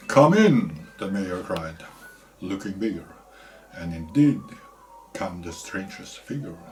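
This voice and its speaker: A man whose first language is Norwegian recites verse very slowly, phrase by phrase. His voice sits fairly low, and soft music plays in the background. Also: big pitch swings. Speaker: amateur reader.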